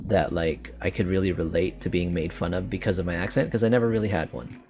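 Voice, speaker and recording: Low-voiced Canadian man hosting a podcast. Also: steady tone, fast talker, darkish tone, faint music behind. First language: English. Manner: rambling, restarts often. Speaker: podcast host. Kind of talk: casual chat.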